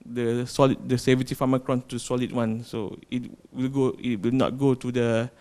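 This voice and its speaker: Male presenter, clean resonant voice, halting, repeats himself. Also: medium pitch, flat delivery, darkish tone. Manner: halting, repeats words while explaining. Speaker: company presenter. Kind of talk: technical product presentation.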